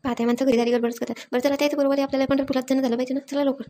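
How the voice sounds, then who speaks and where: A woman performs a bossy, practical village woman from a cartoon comedy, with a Varhadi Marathi accent. Her voice is high and rather even, and she rattles along extremely fast, repeating herself as she admonishes. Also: a little hiss or hum, close to an everyday voice. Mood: cautioning.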